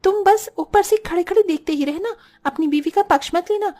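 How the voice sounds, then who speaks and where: A woman's voice: very high-pitched, moderate pace, mellow. A woman voicing a defensive, quarrelsome young housewife in a cartoon story, with a complaining, argumentative manner.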